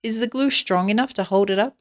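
A woman's voice: medium pitch, fairly quick, muffled recording, pitched above the performer's own voice, lightly acted. A woman voicing bewildered, doubting storybook monkeys and birds, exclaiming and questioning. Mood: doubtful.

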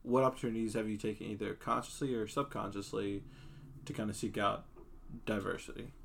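Male podcast co-host, low, gravelly, measured questioner. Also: little pitch movement, fairly bright tone, a little hiss or hum.

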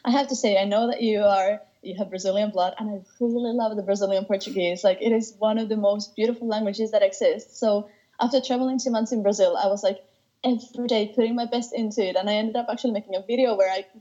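A young woman from Finland chats as an interview guest, eager and warm in tone, often circling back to restart her sentences. Her voice is mellow and soft-edged, and the recording sounds slightly dull and muffled. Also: medium pitch, steady tone, fast. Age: 20s. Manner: enthusiastic, with frequent restarts and light laughs. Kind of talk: casual interview chat.

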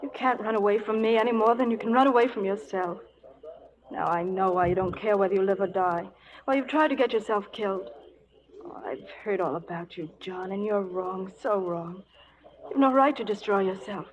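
A woman's voice: mid-range voice, moderate pace, natural voice. An actress playing a devoted, refined young woman from back East, earnest and pleading, in 1940s radio drama style. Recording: dull old radio broadcast, audience noise.

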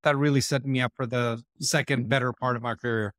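A man's voice: medium pitch, fairly even intonation, fairly quick, fairly bright tone, no room sound, studio quality. A man in his forties with an Israeli accent, a podcast guest telling his career story in a candid, self-deprecating way. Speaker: guest, marketing executive. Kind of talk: podcast interview.

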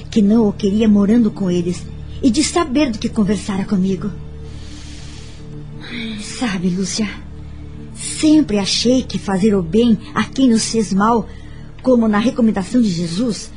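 Reflective woman, radio drama, high pitch, earnest explanatory delivery, music behind. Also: a little mellow. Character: Cecília, forgiving, reflective woman recounting her family story. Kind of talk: radio drama acting with narration.

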